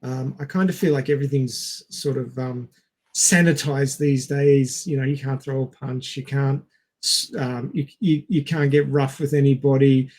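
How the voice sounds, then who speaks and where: A man co-hosting a casual film discussion, speaking in a halting, hesitant way and stretching out words. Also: medium pitch, steady tone, a little mellow. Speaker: podcast co-host.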